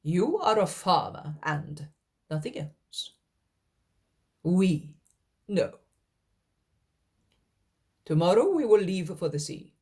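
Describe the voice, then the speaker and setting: A woman's voice: fairly low pitch, very animated, slow, long pauses, mellow, exaggerated voice. A woman doing a harsh, domineering villain. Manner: commanding, scornful. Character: harsh, domineering older man, furious villain.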